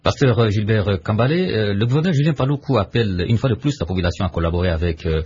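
Deep husky radio host, man. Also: lively intonation, fast talker, a little mellow. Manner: formal questioning, with fillers. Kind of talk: radio interview questions. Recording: dull lo-fi radio sound.

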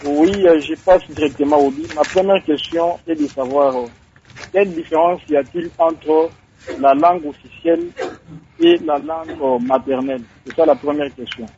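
A man's voice: fairly high pitch, moderate pace, mellow. A man with a Congolese accent, calling a radio show and asking questions hesitantly. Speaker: radio caller. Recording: dull noisy phone call to radio.

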